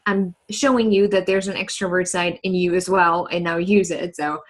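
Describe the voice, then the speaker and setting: A woman in her thirties from East Germany with a German accent, a podcast guest telling her story in a relaxed, earnest way and laughing easily. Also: fairly low pitch, normal speed. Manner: earnest and reflective, with frequent laughs and "like" fillers. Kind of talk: casual conversational storytelling.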